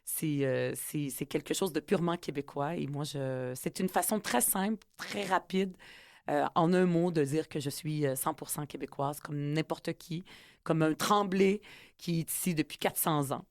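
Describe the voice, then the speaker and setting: Guest, woman in her 40s, Quebec accent, native Arabic speaker, low pitch, dry close sound, earnest and halting. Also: dry recording. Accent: Quebec French. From Middle East, raised in Quebec from age ten. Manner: earnest and reflective, many hesitations. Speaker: politician and author guest. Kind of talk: conversational interview.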